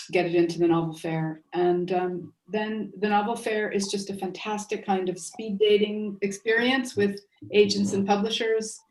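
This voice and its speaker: A middle-aged American woman speaks from some way off the mic in an echoey space. Her voice is fairly low and flat in pitch, with halting, thoughtful phrasing. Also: distant mic. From United States. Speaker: novelist, panel guest. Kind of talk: unscripted panel talk.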